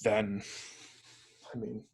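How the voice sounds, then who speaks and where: A man's voice: very slow, a little mellow. A man co-hosting a video game podcast, chatting casually in a blunt, opinionated way. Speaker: podcast host.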